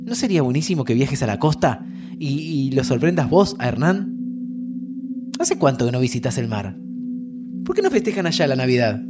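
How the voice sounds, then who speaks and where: A man's voice: mid-range voice, sing-song, normal speed, pauses often, over music, lightly acted. An actor playing a teasing young Argentine time traveler who hides his sadness, in naturalistic audio fiction dialogue. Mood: evasive, gentle. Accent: Argentine, Rioplatense. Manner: casual, teasing.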